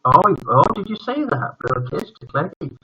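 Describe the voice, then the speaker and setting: Husky, rambling older man. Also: on the high side, very animated, normal speed, bright, forceful tone. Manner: rambling, jokey, often trailing off. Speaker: host of a children's bedtime story livestream. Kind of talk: casual livestream chat with viewers. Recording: dull lo-fi livestream audio.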